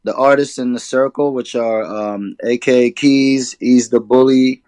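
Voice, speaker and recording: A man from Sudan chatting as a rapper guest in an easygoing, slangy manner. His voice sits at an ordinary middle pitch. The recording is dry and close, with little room sound. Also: unhurried, dry recording. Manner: laid-back, relaxed hip hop slang. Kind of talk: casual interview chat.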